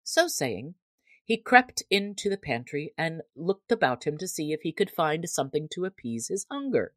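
Female storybook narrator, low register, measured and expressive, very dry recording. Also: dry recording. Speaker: audiobook narrator.

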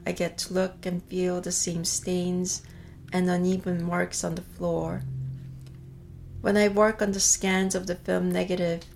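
A middle-aged woman from South Korea whose first language is Korean, speaking as an artist in calm, measured reflective narration. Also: lowish voice, creaky, a little hiss or hum. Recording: faint music behind. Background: soft music.

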